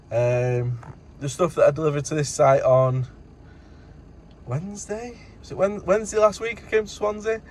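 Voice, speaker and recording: A man's voice: on the high side, a little mellow, slight background noise. A man giving a casual, rambling vlog update as a courier driver, in colloquial everyday speech.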